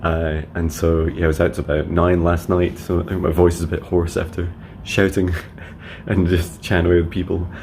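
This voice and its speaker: A man speaks in a very deep, level voice. He offers soft, slow affirmations, then drifts into chatty diary updates with plenty of ums. A faint hiss sits under the phone recording. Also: level tone. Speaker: psychic mentor. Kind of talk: meditation messages and video diary updates.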